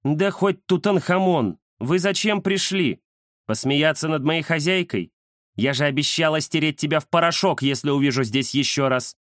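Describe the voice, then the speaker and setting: A man's voice: on the high side, moderate pace, a bit bright, dry recording, clean studio sound, pitched above the performer's own voice, natural voice. A man voicing a grumpy, bossy female cat who scolds and threatens haughtily in a children's story. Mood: angry, threatening.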